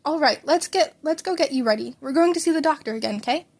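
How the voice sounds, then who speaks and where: A woman's voice: high-pitched, quick pace, pitched above the performer's own voice. A woman reading the part of an anxious, doting mother who fusses and frets over her young son in an audio story. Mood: fussing, coaxing. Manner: fretful, fussing, motherly.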